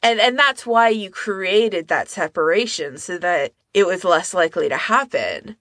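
A woman's voice: fairly low pitch, lively intonation, unhurried, a bit bright, no echo, studio quality. A woman podcast co-host in casual conversation, talking informally and thinking aloud as she goes. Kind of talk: casual chat about fan hobbies.

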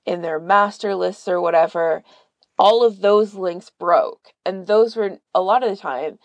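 A woman's voice: on the low side, unhurried, no room sound. A woman from Canada chatting casually as a podcast host, rambling and peppering her talk with "like". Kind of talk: casual chat.

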